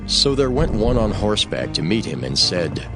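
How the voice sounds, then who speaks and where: A man's voice: on the low side, big pitch swings, normal speed. A man narrating scripture as a solemn storyteller in a dramatized reading. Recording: music under the voice.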